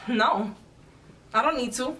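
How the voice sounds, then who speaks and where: Distant, high, forceful accusing woman. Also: takes it slowly. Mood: furious, accusing. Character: furious, betrayed young woman girlfriend, hotly accusing. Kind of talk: heated film drama dialogue. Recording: distant mic with background noise.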